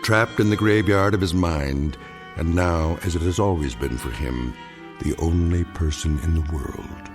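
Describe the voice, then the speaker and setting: Deep-voiced ominous narrator. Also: male voice. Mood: ominous, solemn. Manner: measured, solemn, portentous. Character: ominous male narrator of eerie tales. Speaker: narrator, host. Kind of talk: scripted dramatic narration. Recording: prominent music bed behind.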